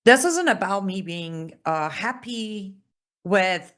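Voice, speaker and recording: A woman's voice: medium pitch, lively intonation, takes it slowly, fairly bright tone. A woman from Iran, hosting her own political show in an emphatic, sarcastic opinion monologue. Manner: emphatic, sarcastic, punchy repeated phrases. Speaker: political commentator, show host.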